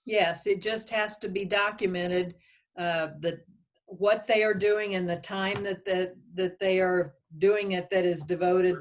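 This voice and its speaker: A woman's voice: on the low side, fairly even intonation, unhurried, low-quality recording. A woman speaking conversationally on a conference call as a county official, thinking aloud as she gives advice. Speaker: county official advising on expense reimbursement.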